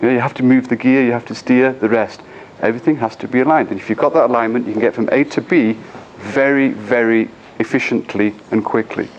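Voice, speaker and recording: A man's voice: medium pitch, fast, muffled recording, slight background noise. A middle-aged man from England with an English accent, giving a conference talk and often checking in with the audience. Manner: engaging, asks the audience frequent questions. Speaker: conference speaker. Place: conference room.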